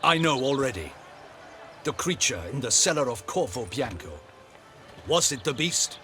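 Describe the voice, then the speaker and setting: An actor playing a proud, distrustful captain of the guard in a video game, formal, stern and curt. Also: male voice, medium pitch, big pitch swings, normal speed, pushed and bright, soft background music. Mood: curt, suspicious. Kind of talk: video game character acting.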